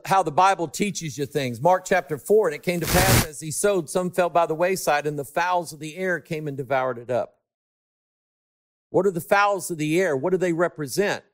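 Male preacher with a high voice. Emphatic, draws out key words, drops to hushed asides. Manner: emphatic, swings between shouting and hushed asides. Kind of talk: sermon.

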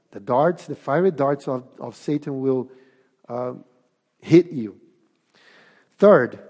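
Slow Portuguese-accented man preaching. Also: fairly high pitch, darkish tone. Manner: deliberate, emphatic preaching with pauses. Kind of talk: sermon. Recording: long reverb of a hall.

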